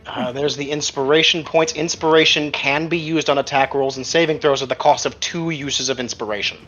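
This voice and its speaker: Higher-voiced man running a tabletop game. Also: fairly even intonation, fairly quick, a bit bright, muffled recording. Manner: colloquial, profane. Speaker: game master. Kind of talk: casual tabletop game narration and rules talk. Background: background music.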